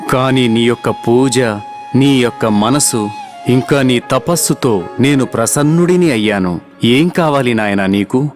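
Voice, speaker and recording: A man's voice: medium pitch, measured pace, natural voice. A man playing a solemn, benevolent god who grants boons in a children's cartoon story, with music under the voice. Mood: solemn, approving.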